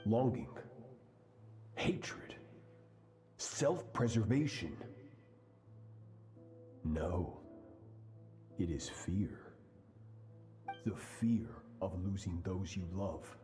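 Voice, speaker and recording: Deep, merciless sword master. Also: male voice, animated, soft background music, exaggerated voice. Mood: ominous, intense. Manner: menacing, deliberate, commanding, often hushed. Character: cold, merciless male sword master of the abyss. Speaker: voice actor in a video game. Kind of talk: dramatic scripted game dialogue.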